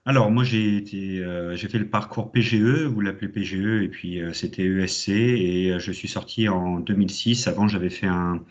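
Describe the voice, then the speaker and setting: A fairly low-voiced man in his thirties talks with enthusiasm and plenty of hesitant fillers. He is giving an alumni talk at an online conference. The audio is dull and lo-fi, as on a video call. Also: steady tone, moderate pace, hardly any pauses. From Champagne, France. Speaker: alumni guest speaker.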